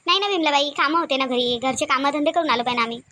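Woman acting a high-pitched, chatty Varhadi village woman. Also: rapid-fire, sharp tone, a little hiss or hum. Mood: chatty, bossy. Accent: Varhadi Marathi of Vidarbha. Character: chatty, bossy village women at a wedding ritual. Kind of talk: cartoon comedy dialogue.

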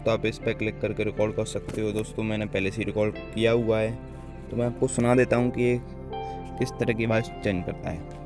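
A man's voice: fairly low pitch, flat delivery, a little fast. A man presenting an app tutorial as a voice-over for a gaming channel. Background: background music.

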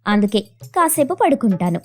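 A woman's voice: fairly high pitch, big pitch swings, moderate pace, mellow, soft background music. A woman voicing a reluctant, whiny, evasive wife sparrow in a children's cartoon. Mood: stubborn, put-upon. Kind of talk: children's cartoon story dialogue.

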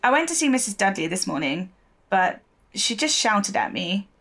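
An actress playing an earnest, stubborn, idealistic newspaper reporter in an audio drama, speaking defensively. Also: female voice, medium pitch, sing-song, normal speed, fairly bright tone.

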